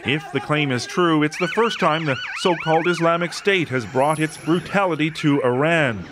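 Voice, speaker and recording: A man reporting a scripted news story in a measured voice-over. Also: on the high side, animated, background noise. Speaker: correspondent.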